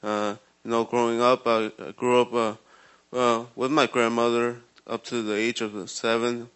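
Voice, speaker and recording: A man in his twenties from Guatemala, giving a halting personal testimony with many fillers and restarts. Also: mid-range voice, little pitch movement, fairly bright tone, clean tone. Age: 20s. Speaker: ministry program resident giving testimony.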